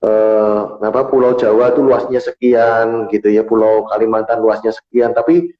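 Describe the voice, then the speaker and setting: A man's voice: on the low side, flat delivery, moderate pace. A man hosting a casual talk, chatty and a bit hesitant, giving examples and asking follow-up questions. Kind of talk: casual talk show chat. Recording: dull, roomy recording.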